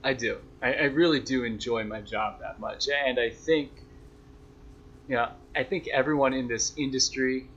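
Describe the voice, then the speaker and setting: A man's voice: medium pitch, steady tone, moderate pace, fairly bright tone. A young man answering interview questions in a reflective, self-deprecating, conversational way, as a sports broadcaster. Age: young adult. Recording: dull lo-fi sound, noisy background.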